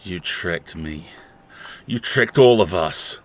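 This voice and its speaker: A man playing a weary but defiant radio host in an audio horror drama, speaking gravely and deliberately. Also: deep voice, lively intonation.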